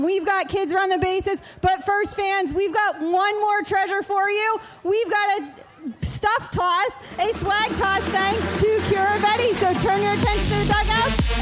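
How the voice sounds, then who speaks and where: High, upbeat female field reporter interviewing a player after the game. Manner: upbeat, chatty questions. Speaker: sideline reporter. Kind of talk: postgame interview.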